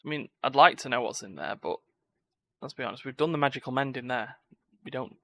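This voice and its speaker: A man's voice: mid-range voice, a little fast, vocal fry, a bit bright, no echo. A man giving live video game commentary, full of playful exclamations and muttered asides. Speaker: game streamer. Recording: game music behind the voice. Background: video game music.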